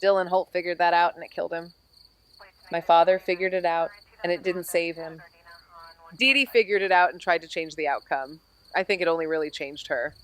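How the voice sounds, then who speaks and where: An actress playing a weary, wry amateur investigator in a noir audio drama, with measured, deadpan delivery. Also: female voice, fairly low pitch, close to an everyday voice, low-quality recording. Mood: somber, reflective. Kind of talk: scripted noir audio drama, narration and dialogue.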